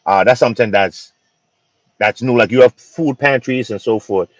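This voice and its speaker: A man from the Bahamas co-hosting a casual podcast chat, halting and repeating words with many fillers. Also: mid-range voice, no room sound, low-quality recording. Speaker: co-host.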